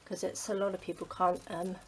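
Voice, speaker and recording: Chatty middle-aged Englishwoman, low voice. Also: little pitch movement, brisk, a little hiss or hum, rough recording. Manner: rambling, many ums, drops to a soft near-whisper while working. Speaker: craft channel host. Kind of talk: casual chat while crafting.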